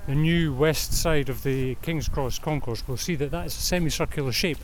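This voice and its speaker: A man's voice: on the high side, moderate pace. A middle-aged man with a Scottish accent, talking conversationally as an interview guest while out walking. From Scotland. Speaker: interview guest, walk organiser. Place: outdoors in a city park. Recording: outdoor recording with urban traffic noise.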